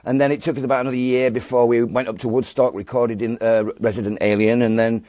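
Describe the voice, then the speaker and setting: A man's voice: mid-range voice, flat delivery, fast talker, warm tone, dry recording, studio quality. Yorkshire man telling a rambling story. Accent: Northern English, Yorkshire. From England. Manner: rambling, many fillers and restarts. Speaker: guest musician. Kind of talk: relaxed storytelling interview.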